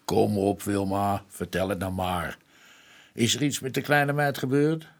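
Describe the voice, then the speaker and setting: A man's voice: fairly low pitch, heavily acted. A man playing a folksy, plain-spoken police detective who defers to his inspector in a radio drama. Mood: gentle, coaxing.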